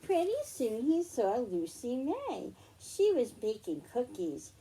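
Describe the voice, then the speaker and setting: A woman with a high, mellow voice reads a story to young children. Her pitch moves in lively sweeps and her pace is unhurried. She explains things simply, as a storytime host. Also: slight background noise.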